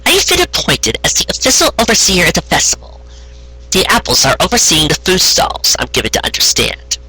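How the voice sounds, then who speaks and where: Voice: mid-range voice, huge pitch swings, brisk, bright, forceful tone, breathy edge, voice pushed up, exaggerated voice, low-quality recording. A storyteller voicing a proud, self-important young female unicorn official with a pompous, assertive manner. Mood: businesslike.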